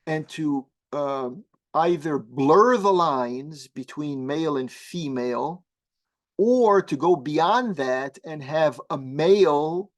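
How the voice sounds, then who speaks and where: A man whose first language is Arabic, a guest imam explaining religious teaching slowly and thoughtfully, pausing often with fillers. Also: on the high side, expressive. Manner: measured, halting with many fillers.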